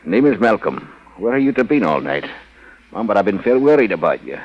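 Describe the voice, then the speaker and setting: An actor playing an earnest Scottish contact man with a husky voice and Scottish accent in a radio adventure. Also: on the low side, very animated, a little fast. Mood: earnest, concerned. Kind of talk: 1940s radio adventure acting. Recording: muffled old-time radio recording.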